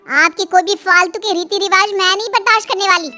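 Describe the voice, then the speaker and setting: A bold, educated young bride from the city, voiced very high and questioning everything in an animated moral tale, as a woman puts her on. Her indignant voice sits over faint background music. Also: brisk. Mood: defiant.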